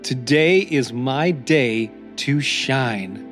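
A man reading scripted motivational affirmations as a podcast host, in a deliberate, encouraging manner. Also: mid-range voice, animated, fairly bright tone. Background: new-age music.

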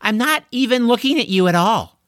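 A man's voice: very high-pitched, lively intonation, brisk, a bit bright, dry recording, clean studio sound, pitched above the performer's own voice. A man voicing a stubborn, sulky young boy held captive in a storybook, sullen and protesting. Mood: indignant.

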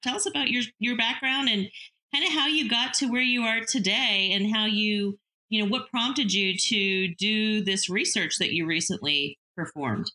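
Bright-voiced woman hosting an interview. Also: medium pitch, normal speed, poor audio. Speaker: interview host. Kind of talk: conversational interview.

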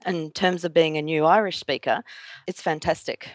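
A woman's voice: deep voice, fairly even intonation, moderate pace, dry recording, muffled recording. An Australian woman talking as a podcast guest in casual, meandering chat, full of fillers and restarts. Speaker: guest, Irish language learner.

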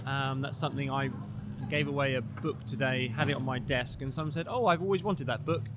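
A man business owner telling a short story in a relaxed way at a business show. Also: medium pitch, fairly quick. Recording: guitar music behind voice.

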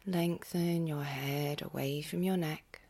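Female meditation guide: husky, hushed, near-whispered, creaky at the edges, gentle and very unhurried. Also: low voice, fairly even intonation. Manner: gentle, unhurried, with long pauses. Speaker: yoga nidra meditation guide.

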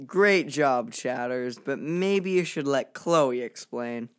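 Slow-talking, dry-witted adventurer. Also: male voice, mid-range voice, animated, smooth. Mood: dry, amused. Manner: dry, unruffled. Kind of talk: children's audio drama acting.

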